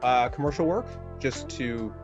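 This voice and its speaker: A man's voice: mid-range voice, expressive, measured pace, lo-fi sound, music in the background. A man giving a casual, rambling interview as a voice actor, often tagging phrases with a checking question.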